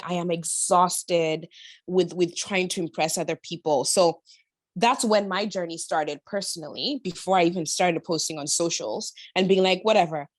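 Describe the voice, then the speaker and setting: A woman's voice: fairly low pitch, no echo. A woman chatting personally as a podcast guest, emphatic and slangy in a motivational way. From Kenya. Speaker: podcast guest, body-confidence influencer. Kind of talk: casual, personal interview chat.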